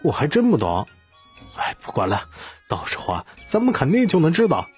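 Excitable young prince, performed by a male narrator, earnest, naive, exclamatory, slightly husky, for an audiobook. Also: on the high side, fairly quick, soft background music, voice pushed up, exaggerated voice. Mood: baffled.

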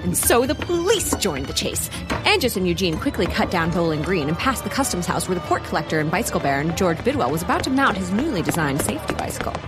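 Animated woman narrator over music. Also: lowish voice, fast talker. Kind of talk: scripted comic audio drama narration. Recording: music behind the voice.

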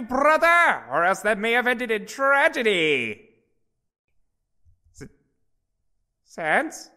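A woman's voice: very high-pitched, sharp tone, exaggerated voice. A woman voicing an earnest, devoted skeleton brother in a cartoon dub, with distraught, theatrical delivery. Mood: dramatic relief. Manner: drawn-out, theatrical, stammering pleas. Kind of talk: cartoon comic dub acting.

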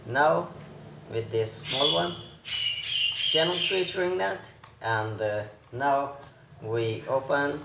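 A man who is not a native English speaker, presenting a hands-on repair tutorial in short, halting phrases with many hesitations. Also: mid-range voice. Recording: roomy echo with clear background noise.

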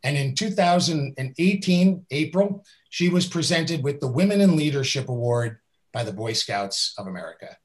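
A man's voice: on the high side, animated, moderate pace, rough recording. A middle-aged man hosting a video-call interview, reading a guest introduction in an upbeat, admiring way. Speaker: interview host. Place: indoors with a virtual background.

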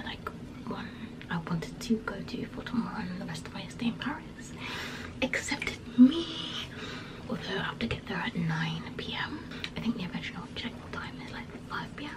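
A woman's voice: medium pitch, rough recording. A young woman chatting casually as a travel vlogger, full of fillers and often dropping to a whisper. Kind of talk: casual vlog chat.

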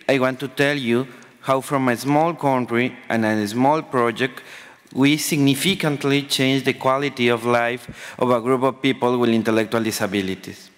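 A man's voice: medium pitch, fairly bright tone. A man in his forties from Ecuador with a Spanish accent reads a prepared conference speech. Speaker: conference presenter. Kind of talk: prepared speech read from notes. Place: large conference hall panel table. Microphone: table microphone. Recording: reverberant conference hall microphone.

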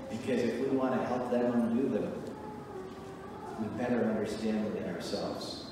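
A middle-aged man preaching a sermon from a church pulpit, earnest and emphatic with measured pauses. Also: mid-range voice, background noise. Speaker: pastor. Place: church sanctuary, at a wooden pulpit. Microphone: pulpit mic, recorded from a far camera. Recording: echoey church hall from far off.

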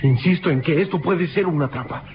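Man as a high, anxious boy sidekick. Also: a little fast, faint music behind, close to an everyday voice. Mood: worried, suspicious. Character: wary young boy sidekick, anxious and suspicious. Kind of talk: old radio drama acting. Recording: dull, noisy old radio recording.

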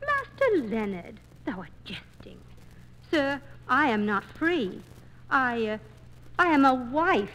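An actress playing an earnest, dutiful young bride in a theatrical 1940s radio operetta. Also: female voice, sits high, big pitch swings, slow, fairly bright tone, exaggerated voice, rough recording. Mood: anxious. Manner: theatrical, archaic. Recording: old 1940s radio transcription disc.

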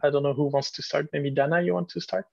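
A man's voice: on the high side, fairly even intonation, fast talker, gravelly, darkish tone, no room sound. A man moderating an online webinar question session, polite and inviting. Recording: dry, dull lo-fi video call audio.

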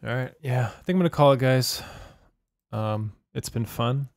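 A young man, hoarse from a long session, chatting loosely with his live-stream viewers about the game and reading their chat. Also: mid-range voice, moderate pace, no room sound, clean studio sound. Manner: laid-back, swears casually, reads chat aloud. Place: home room at night.

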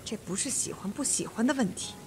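A woman playing a composed, authoritative judge in a Mandarin radio mystery, speaking in a measured, firm manner. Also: a little high, animated, dark tone, slight background noise, natural voice. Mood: guarded, firm.

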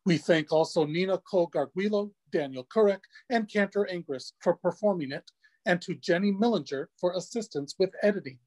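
A man's voice: high voice, fairly quick, a little mellow, no echo. A man speaking on a video call in a scripted presentation.

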